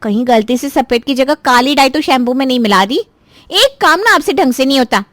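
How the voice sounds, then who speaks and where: Casting: female actor as scheming, resentful young sister-in-law, cartoon drama, extremely high pitch, close dry recording. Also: animated, a little fast, fairly bright tone, dry recording. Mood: annoyed, alarmed. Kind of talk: Hindi cartoon voice acting.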